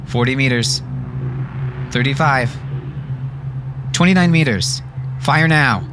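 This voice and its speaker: A decisive military captain, played by a male narrator, barks terse, urgent orders in an audiobook thriller. His pitch is lifted above a low base, and music plays behind him. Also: slow pace, close to an everyday voice. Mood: urgent, shouting.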